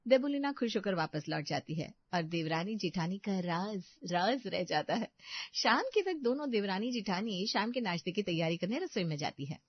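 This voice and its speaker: A woman's voice: mid-range voice, lively intonation, normal speed, lo-fi sound. A woman narrating a scripted family story.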